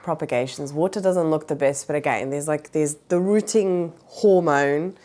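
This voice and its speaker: Vlogger mom, 30s, deep for a woman, nasal from a cold, chatty, fillers throughout. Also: normal speed, a little mellow. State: recovering from a cold. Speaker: plant vlogger, mother. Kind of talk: casual chat.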